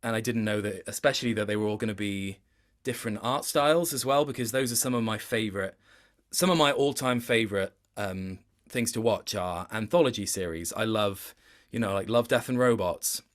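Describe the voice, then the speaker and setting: A man's voice: lowish voice, fairly quick. Reflective man explaining his acting work. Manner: reflective, explanatory, stop-start phrasing. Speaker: guest voice actor. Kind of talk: interview chat about performance work.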